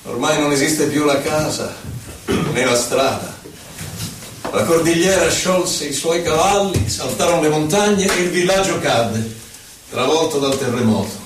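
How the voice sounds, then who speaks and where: A man's voice: fairly high pitch, noisy background, natural voice. Man acting solemn haunted poet, cavernous echo. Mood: somber, grieving. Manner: solemn, deliberate, with dramatic pauses. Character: reflective male poet recalling his life, melancholy, haunted. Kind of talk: theatrical poetry recitation. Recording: echoey hall from far off, faint music. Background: faint music, violin.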